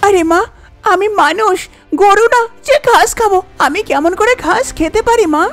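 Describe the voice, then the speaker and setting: A woman's voice: very high-pitched, moderate pace, soft background music, voice pushed up. A woman voicing a plaintive, reluctant young daughter-in-law in a cartoon folk tale. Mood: whiny, protesting. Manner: plaintive, protesting.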